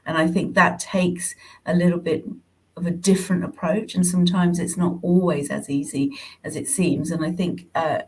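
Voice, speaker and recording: A woman speaking reflectively as a panelist, measured and earnest. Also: lowish voice, flat delivery. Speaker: podcast panelist.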